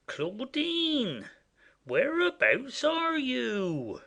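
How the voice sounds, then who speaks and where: An actor playing a simple, boastful, smitten manservant in a classic comedy audio play, with a naive, exclaiming manner. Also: male voice, very high-pitched, huge pitch swings, very slow, gravelly, sharp tone, exaggerated voice. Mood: eager, searching. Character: simple, boastful male servant, cheerfully self-satisfied and smitten.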